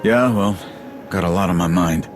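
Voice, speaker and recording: A man's voice: deep voice, moderate pace, lightly acted. A man playing a disillusioned, loyal warrior in a video game cutscene, blunt and plain-spoken. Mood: troubled, subdued. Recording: loud music behind. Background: music.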